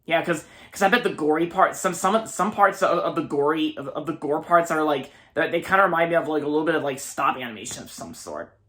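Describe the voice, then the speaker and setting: Halting young man reviewing a film. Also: a little high, lively intonation, pushed and bright. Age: 20s. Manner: halting, many repeated words and restarts; enthusiastic, gushing. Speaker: movie reviewer. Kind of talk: casual movie review monologue.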